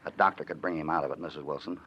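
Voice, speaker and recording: An actor playing a calm, authoritative cowboy hero in a 1940s radio western. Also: male voice, rumbling low, racing, a little hiss or hum. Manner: steady, authoritative. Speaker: lead hero. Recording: dull old radio recording.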